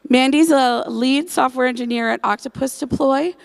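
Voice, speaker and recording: A woman's voice: sits high, moderate pace, gravelly. A woman hosting a conference, introducing the next speaker casually and with enthusiasm. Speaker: conference host.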